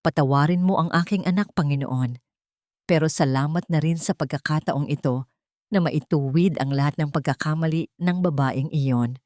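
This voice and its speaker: Casting: female reader as a scheming, menacing older matriarch in an audio drama, pious on the surface, lowish pitch. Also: moderate pace, a little mellow, no echo, clean studio sound, close to an everyday voice. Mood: pious, bitter. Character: greedy, scheming, cruel older mother feigning piety.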